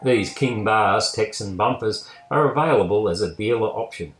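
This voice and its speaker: A man's voice: fairly low pitch, normal speed. A man reading a scripted voice-over as the narrator of a vehicle review. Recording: roomy voice-over with music. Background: guitar music.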